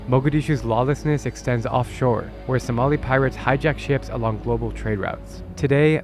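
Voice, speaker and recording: An American man in his thirties hosting a travel vlog in an informal, enthusiastic way. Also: mid-range voice. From United States. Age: 30s. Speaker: travel vlogger and host. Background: music.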